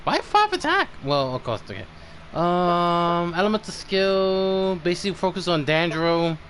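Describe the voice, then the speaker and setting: A man streaming a game, giving casual live commentary in a halting, muttering way as he thinks aloud. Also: sits high, very animated, a bit bright, slight background noise. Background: game music.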